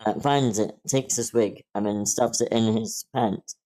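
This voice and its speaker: Stage-direction reader, male: low, soft-edged dark tone, plain scripted narration for a table read. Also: fairly even intonation, measured pace.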